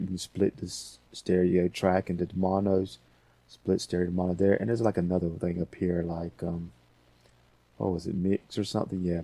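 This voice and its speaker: A man giving a casual software tutorial as host, rambling a little and thinking aloud as he talks through steps. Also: low voice, dry recording.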